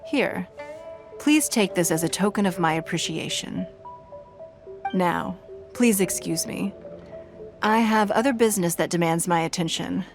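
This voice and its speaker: A woman's voice: on the low side, music in the background. An actress voicing a composed, dignified female leader in a video game, speaking formally with courteous authority. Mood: calm, gracious. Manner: formal, measured, poised.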